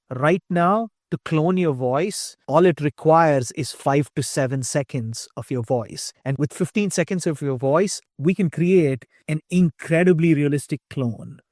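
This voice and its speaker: A middle-aged man with an Indian accent, a tech company CEO explaining things in a casual interview, quick and full of "you know" and "right". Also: medium pitch, darkish tone, no room sound, clean studio sound.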